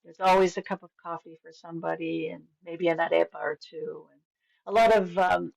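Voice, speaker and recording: Low-voiced Venezuelan-born woman, middle-aged. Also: steady tone, a little fast, gravelly, poor audio. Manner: warm, enthusiastic, with frequent restarts and fillers. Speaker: guest. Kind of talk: casual conversational storytelling.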